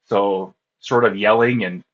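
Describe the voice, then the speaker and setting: A man with a husky voice, speaking casually as an interview guest and recounting his experiences. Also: fairly low pitch, steady tone, a little slow, highs cut off. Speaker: interview guest, ham radio contest operator.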